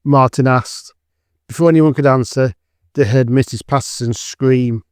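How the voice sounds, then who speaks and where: Guy narrating an audio drama, smooth clear voice, dry close sound. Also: mid-range voice, dry recording. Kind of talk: scripted story narration.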